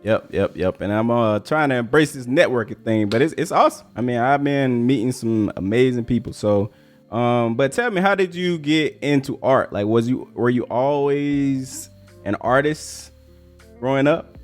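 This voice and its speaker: A man's voice: medium pitch, normal speed, soft background music. A man interviewing someone casually, encouraging them and phrasing his questions with some hesitation. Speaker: interviewer, host.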